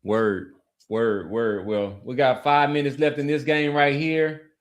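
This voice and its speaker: A man's voice: mid-range voice, level tone, measured pace. Casual male sports podcast host. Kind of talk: casual sports chat and community sign-off.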